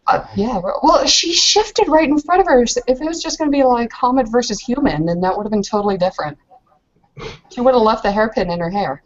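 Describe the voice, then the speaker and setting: A woman's voice: fairly high pitch, lively intonation, brisk, muffled recording. A woman playing a tabletop roleplaying game, chatting informally as she explains her character's moves. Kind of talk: casual game chat.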